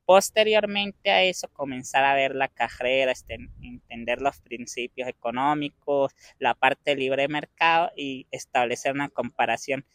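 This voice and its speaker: A young man from Venezuela with an Andean Venezuelan accent, answering interview questions in a measured way and pausing to find his words. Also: mid-range voice, fairly bright tone, no echo. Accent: Venezuelan Andean Spanish.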